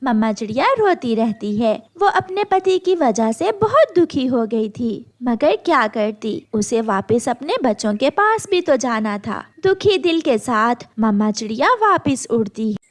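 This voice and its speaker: A woman's voice: fairly high pitch, big pitch swings, measured pace, few pauses. A woman narrating a scripted moral story for children.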